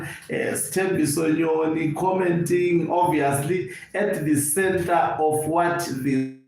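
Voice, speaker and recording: A man with a Zimbabwean accent gives live political commentary as an online host, phrasing deliberately and repeating words for emphasis. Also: on the high side. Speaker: online news channel host and political analyst. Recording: very echoey room, faint background noise.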